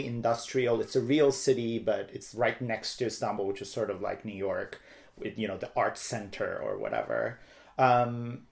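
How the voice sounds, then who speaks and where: A man's voice: lowish voice, creaky, a little mellow. A talkative middle-aged man from Turkey, telling personal stories casually as an interview guest. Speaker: interview guest, theater director.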